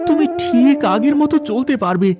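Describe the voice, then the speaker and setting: A man playing a poor, devoted young son in a moral-story cartoon, earnest, hurried and pleading. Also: very high-pitched, lively intonation. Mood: earnest, reassuring. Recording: loud music over the voice.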